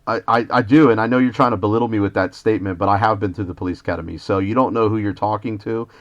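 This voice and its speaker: A man's voice: fairly low pitch, steady tone, fast talker. Argumentative American man, muffled lo-fi call. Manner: argumentative, indignant, lecturing. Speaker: citizen journalist questioning police by phone. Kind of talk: confrontational phone complaint. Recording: dull lo-fi phone call recording.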